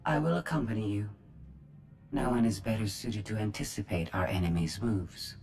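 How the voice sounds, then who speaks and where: A woman's voice: extremely low pitch, normal speed, a little mellow. A woman voicing a cold, superior robot intelligence in a game cutscene, formal and condescending, with synthetic processing. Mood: cool, matter-of-fact. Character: cold, superior female droid intelligence, haughty and precise. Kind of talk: video game cutscene acting.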